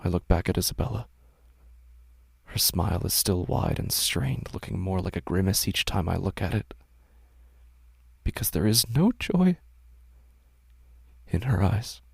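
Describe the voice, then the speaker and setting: Deep, gravelly male horror narrator. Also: lively intonation, dry recording, clean studio sound. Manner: hushed, slow, often whispered. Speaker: narrator and host.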